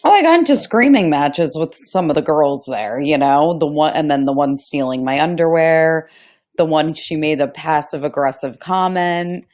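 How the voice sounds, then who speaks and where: A woman with a markedly low voice chats as a podcast co-host. She is blunt and animated when telling stories and swears casually. Music sits behind her voice. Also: moderate pace. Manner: blunt, animated storytelling, profane. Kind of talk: casual conversational podcast chat.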